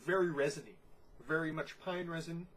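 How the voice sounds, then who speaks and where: A congested man giving a casual, descriptive beer tasting review, unhurried and full of "you know" fillers. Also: a little high, fairly even intonation, a bit bright.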